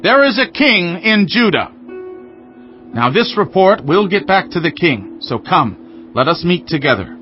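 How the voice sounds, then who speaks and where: A man's voice: high-pitched, very animated, a little slow. A man playing an accusing, insinuating enemy governor declaiming a threatening letter in a dramatized scripture audiobook. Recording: dull sound with faint music underneath.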